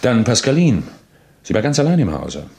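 A man's voice: medium pitch, wildly swooping pitch, normal speed, gravelly, darkish tone. A man acting a keen, persistent junior detective in a crime radio play, methodically and insistently laying out his reasoning.

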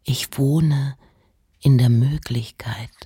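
A woman playing a reflective, intense poet in a slow, intimate dramatic recitation of letters and verse. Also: extremely low pitch, steady tone, noisy background. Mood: hushed wonder. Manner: measured, intimate, often dropping to breathy whispers.

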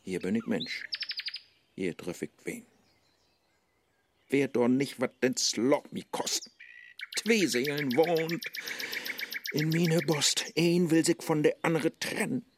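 An actor playing a brooding, world-weary scholar in a rhymed radio play, declamatory, with a Low German accent. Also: male voice, slow, pauses often, background noise. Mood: anguished. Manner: declamatory, melancholy.

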